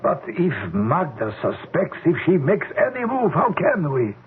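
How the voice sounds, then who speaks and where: An actor playing an anxious scientist who is secretly in love, in a 1970s radio drama. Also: male voice, a little high, sing-song, measured pace, slight background noise. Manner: wavering, doubtful, pleading. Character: anxious middle-aged male scientist, a secret lover. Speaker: scientist character. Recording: narrow, phone-like old radio broadcast.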